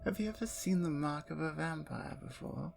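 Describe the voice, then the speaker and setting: A woman voicing a playful, menacing captured female vampire, in an ASMR roleplay with soft whispers and a teasing, taunting manner. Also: sits low, normal speed, gravelly, a little hiss or hum.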